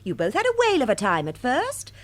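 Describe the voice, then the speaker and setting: High, animated British housewife. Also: female voice, fast talker. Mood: teasing. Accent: British English. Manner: wry, teasing retorts. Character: wry, teasing British housewife, affectionately sceptical. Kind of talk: radio drama acting.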